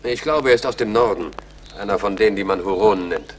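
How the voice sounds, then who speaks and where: An actor playing a courteous, earnest English army major in a radio play, speaking in a formal manner. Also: male voice, lowish voice, quick pace, slight background noise, close to an everyday voice. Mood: defensive. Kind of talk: German radio play acting.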